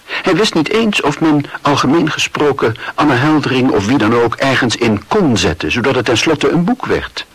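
Guy narrating a radio play, husky, really varied intonation, dry recording. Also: medium pitch, big pitch swings, moderate pace, a bit bright, no echo.

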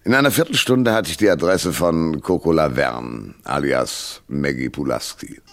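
An actor playing a wry, tough hardboiled private detective, narrating and trading dry banter in a radio noir. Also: male voice, extremely low pitch, animated, normal speed. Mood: wry narration. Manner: sardonic, dry first-person narration and sharp banter.

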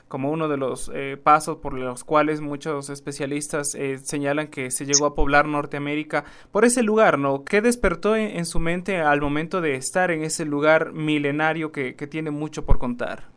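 A man hosting a radio interview, asking formal, measured questions. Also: on the high side, fairly even intonation, a bit bright, clean tone. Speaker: radio host.

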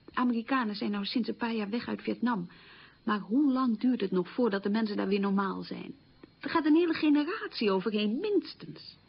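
Husky, creaky reflective middle-aged woman. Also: a little high, animated, heavily acted. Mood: grave, reflective. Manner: halting, reflective, emphatic repetition. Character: reflective woman recalling childhood hunger in wartime. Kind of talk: radio play monologue, conversational reminiscence. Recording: dull lo-fi radio recording.

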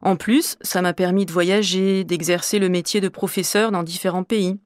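A woman from Normandy, a French teacher, answering interview questions in a measured, clear, explanatory way. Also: fairly low pitch, steady tone, dry recording, clean studio sound. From Normandy, France.

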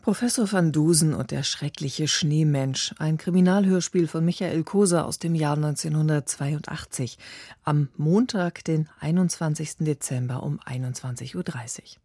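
A radio announcer presents programme previews from a script. The tone is soft-edged and dark, recorded in a very dry studio. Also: mid-range voice, normal speed, breathy edge, dry recording.

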